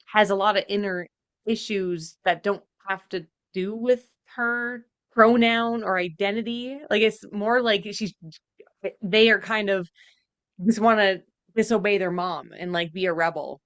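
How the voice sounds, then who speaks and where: A woman's voice: medium pitch, unhurried, fairly bright tone. Exasperated woman chatting on a podcast. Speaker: podcast co-host reacting to game cutscenes. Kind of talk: casual chat commentary.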